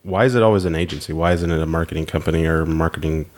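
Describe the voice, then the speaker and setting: A man's voice: extremely low pitch, racing. A man, sounding nasal from allergies, chatting and asking questions as a podcast co-host. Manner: chatty, inquisitive. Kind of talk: casual podcast chat.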